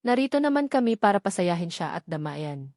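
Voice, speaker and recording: A woman's voice: fairly low pitch, big pitch swings, a little fast, a little mellow, no echo, studio quality. A woman with a Filipino accent reading a scripted first-person romance novel as an audiobook narrator.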